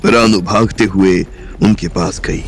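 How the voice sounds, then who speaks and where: A man narrating a romantic crime audio drama in a measured storytelling manner. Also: sits low, steady tone, slight background noise.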